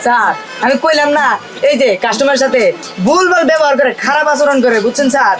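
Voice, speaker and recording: A woman's voice: high voice, fast, fairly bright tone. A woman playing a fawning, pushy underling who tattles to the boss, in a comic drama with a Bangladeshi accent. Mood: tattling. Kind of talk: comic short-drama acting. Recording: music behind the dialogue.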